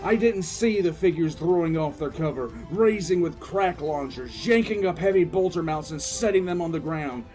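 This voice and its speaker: An actor playing a battle-hardened military commissar who recounts combat with grim relish in an intense, theatrical audio drama narration. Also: male voice, fairly high pitch, darkish tone, lightly acted. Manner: intense, theatrical, drawn-out emphatic delivery.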